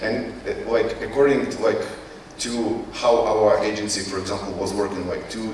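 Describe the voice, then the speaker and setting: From far off in a very echoey hall with a faint bed of noise comes a man's slightly low voice. He has a Ukrainian accent and speaks non-native English. He presents informally, haltingly, often searching for the right word. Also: little pitch movement. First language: not English. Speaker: conference speaker, company CEO. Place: conference hall.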